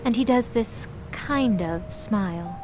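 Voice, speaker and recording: A woman's voice: medium pitch, slow pace, over music. A woman reading scripted narration for a mythological audio drama. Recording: clearly noisy background.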